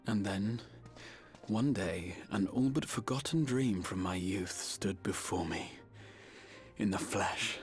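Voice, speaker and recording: An actor playing a solemn, wistful storyteller who narrates in a video game with grave, poetic phrasing. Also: male voice, lowish voice, slow pace, faint music behind. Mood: solemn wonder. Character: solemn, wistful male storyteller recalling his youth.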